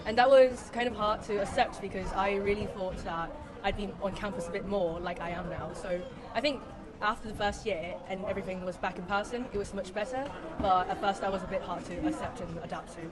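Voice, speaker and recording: A woman's voice: mid-range voice, fairly quick. A young woman from Hong Kong, a law student, chatting openly in an interview outdoors. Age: young adult. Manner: open, chatty with many likes. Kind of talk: casual interview answer. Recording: busy crowd behind.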